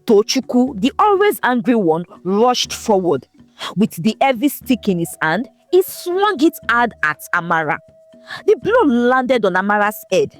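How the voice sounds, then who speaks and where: A woman narrating a folktale in a scripted, expressive, dramatic storytelling manner. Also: on the high side, a little slow, soft background music.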